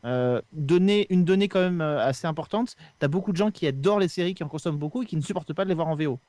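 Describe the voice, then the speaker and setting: A man in a casual podcast discussion, explaining his views with drawn-out hesitations. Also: fairly high pitch, darkish tone, dry recording. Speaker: podcast regular.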